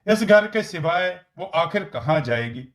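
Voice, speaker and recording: A man's voice: fairly high pitch, animated, unhurried. A man reading scripted story narration as the narrator.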